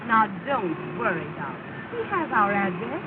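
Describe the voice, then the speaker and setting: An actress playing a calm, well-to-do wife who speaks in a gentle, soothing way, in 1930s film style. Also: female voice, medium pitch, normal speed, lightly acted. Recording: tinny, hissy 1930 film soundtrack.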